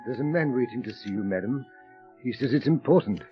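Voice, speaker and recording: A formal, deferential manservant in an old radio play, played by a man. His mid-pitched voice is polite and restrained, with faint music on a muffled vintage recording. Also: expressive, brisk, natural voice. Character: formal, deferential male household servant. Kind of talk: 1930s radio drama acting.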